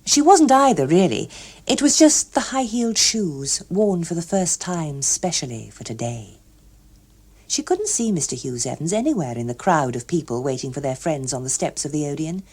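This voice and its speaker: Female audiobook narrator, English accent: lowish, dark-toned voice, expressive scripted storytelling. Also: normal speed, husky.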